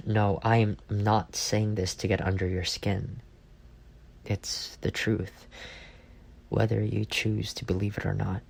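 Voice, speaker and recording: Slow-talking, low-voiced arrogant office rival acted by a man. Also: little pitch movement, vocal fry, heavily acted. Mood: reluctant, softened. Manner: teasing, sardonic, stammers when caught off guard. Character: arrogant, bantering male office rival turned flustered admirer. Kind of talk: intimate ASMR roleplay audio drama.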